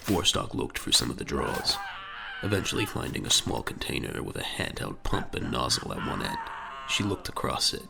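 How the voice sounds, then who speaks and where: A man's voice: extremely low pitch, huge pitch swings, normal speed, vocal fry, background noise. A man reading dramatic scripted narration for a science fiction audio drama. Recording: music under the voice.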